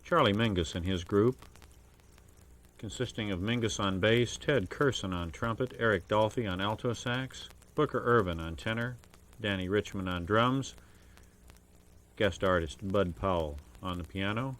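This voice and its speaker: A man working as a radio DJ, introducing jazz records in a measured, formal announcing style with careful enunciation of names and dates. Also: lowish voice, a bit bright. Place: radio studio. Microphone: broadcast studio microphone.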